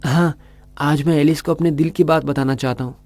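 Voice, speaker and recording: A man's voice: fairly high pitch, fairly even intonation, fairly quick, a little mellow, slight background noise, lightly acted. A man playing an earnest, brooding young suitor in a dramatic audio romance. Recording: music under the voice.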